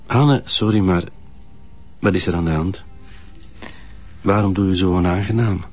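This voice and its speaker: A man with a Flemish accent playing a calm, considerate police detective in a TV drama, speaking gently and with measure. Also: deep voice, a little slow, muffled recording, faint music behind. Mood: calm, concerned. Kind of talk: naturalistic TV drama acting.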